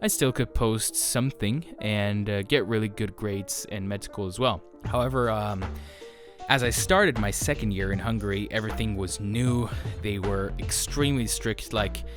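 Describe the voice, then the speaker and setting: Earnest low-voiced young man over guitar music. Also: moderate pace. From Norway. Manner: earnest and reflective, with frequent "so yeah" fillers. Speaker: YouTube creator. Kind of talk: personal update monologue.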